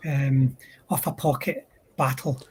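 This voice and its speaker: A man on a discussion panel explaining military history in a thoughtful, halting way, stretching out words. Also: medium pitch, little pitch movement, a little mellow, slightly rough. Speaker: panel speaker.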